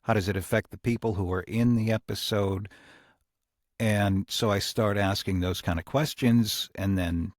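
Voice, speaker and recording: A man reflecting on his creative work in a podcast discussion, speaking thoughtfully and often pausing to search for words. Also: lowish voice, steady tone, dry recording, studio quality. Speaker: audio drama writer and creator.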